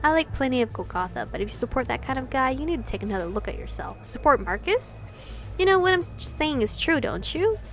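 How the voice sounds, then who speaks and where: A woman's voice: sits high, sing-song, fairly quick, soft background music. A woman voicing an angry, passionate young male vampire rebel in a visual novel, accusing and insistent. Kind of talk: visual novel read-aloud.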